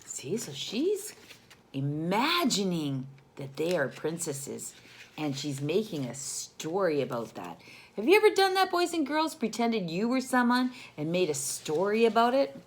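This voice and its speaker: Female children's storyteller, teacherly, highly varied intonation, unhurried delivery, speaks straight to the kids. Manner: expressive, teacherly, often addressing the young listeners directly. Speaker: storyteller reading a picture book to children. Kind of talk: children's read-aloud with questions to the listeners.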